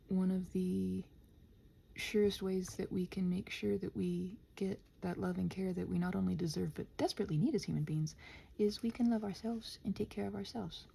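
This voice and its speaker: A woman in a casual, improvised stream-of-consciousness ramble, halting and interrupting herself, often slipping toward a half-whisper, with a gentle encouraging manner. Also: medium pitch, gravelly, husky. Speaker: host of an improvised ramble audio for body doubling.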